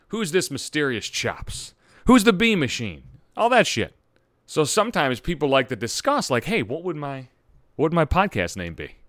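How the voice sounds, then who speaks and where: A man's voice: fairly high pitch, no echo, studio quality. Ranting, foul-mouthed male podcast host. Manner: loud, ranting, heavy swearing. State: just over a cold. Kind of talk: profane comedic rant.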